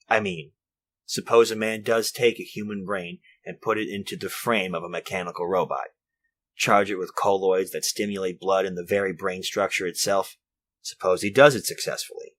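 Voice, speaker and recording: An actor playing an anxious, morbidly argumentative man in a 1940s-style radio drama, speaking in a halting, rhetorical, theatrical way. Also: sits low.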